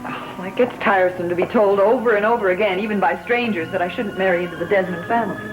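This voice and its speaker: Strong-willed gothic soap fiancée actress: medium pitch, impatient, heavy music behind. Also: female voice, fast, close to an everyday voice, rough recording. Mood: annoyed. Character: strong-willed young bride-to-be, weary and defiant. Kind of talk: gothic TV soap opera acting.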